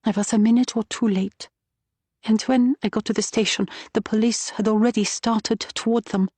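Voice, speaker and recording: A woman's voice: fairly high pitch, fairly even intonation, normal speed, mellow, breathy edge, no room sound, pitched above the performer's own voice. A woman voicing an anxious, pleading young woman, a wartime resistance courier in an audiobook, with a pinched, urgent delivery. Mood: anxious, grim.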